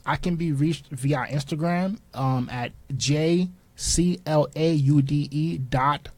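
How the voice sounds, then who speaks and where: A man's voice: fairly high pitch, a little mellow, dry recording. Haitian-born man, dry studio voice. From born in Haiti, raised in Newark, New Jersey. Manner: spells out web addresses slowly and carefully. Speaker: guest entrepreneur and artist. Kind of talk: casual radio interview.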